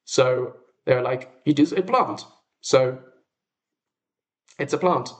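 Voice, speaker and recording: A man's voice: medium pitch, slow pace. A British man hosting a casual livestream, chatting in a rambling, explanatory way with tangents. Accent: British English. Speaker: livestream host, robotics researcher. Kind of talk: casual livestream chat to viewers. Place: small furnished flat, indoors.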